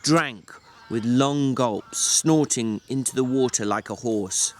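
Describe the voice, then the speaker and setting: Guy reading a novel out loud, medium pitch, dramatic but measured, careful phrasing. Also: a little hiss or hum.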